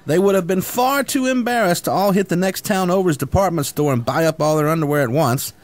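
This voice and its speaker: A man's voice: high voice, fairly quick, lightly acted. A man playing a cocky, grandiose schemer who leads a band of misfits in a comedic sci-fi radio drama, boastful and persuasive. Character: cocky, grandiose schemer, self-appointed leader of misfit would-be conquerors.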